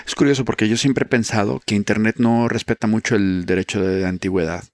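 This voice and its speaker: A man's voice: on the low side, fairly even intonation, quick pace, no room sound, clean studio sound. A man from Mexico, hosting a podcast and asking curious, playful questions. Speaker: podcast host. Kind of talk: interviewing on a podcast.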